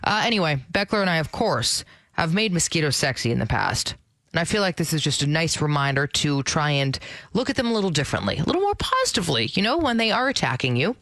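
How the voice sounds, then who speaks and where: A woman with a raspy voice hosting a radio podcast, chatting casually and playfully with big exclamations. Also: deep voice, sing-song, fast, a bit bright, no echo. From Ontario, Canada. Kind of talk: casual chat. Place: radio studio.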